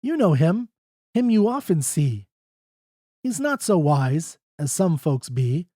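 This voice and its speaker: A reader voicing a distraught older mother, pleading and wailing in high pitch. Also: big pitch swings, slow pace, mellow, no echo, clean studio sound.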